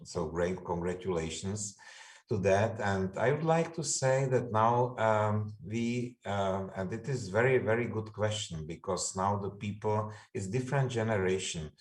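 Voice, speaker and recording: A man's voice: lowish voice, measured pace. A man from the Republic, speaking earnestly as a university panelist. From Czech Republic. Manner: earnest, non-native phrasing.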